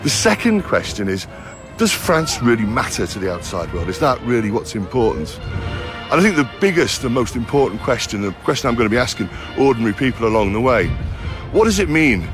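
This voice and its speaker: A middle-aged man with a Liverpool accent presents a travel documentary, talking chattily and straight to the audience. His voice sits at an ordinary middle pitch. Music plays clearly beneath him. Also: sing-song, brisk. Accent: Liverpool (Scouse). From Liverpool, England. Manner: chatty, direct address to viewers.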